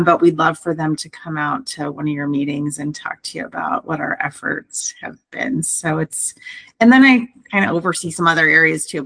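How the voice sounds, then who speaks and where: A woman's voice: lowish voice, gravelly, fairly bright tone. A woman program manager introducing herself at a meeting in a chatty way, with many fillers.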